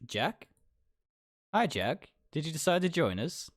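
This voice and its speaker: A man's voice: fairly high pitch, sing-song, measured pace, no room sound, studio quality, voice pushed up. Man voicing a sly, menacing young woman, lilting. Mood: teasing. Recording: music behind the voice.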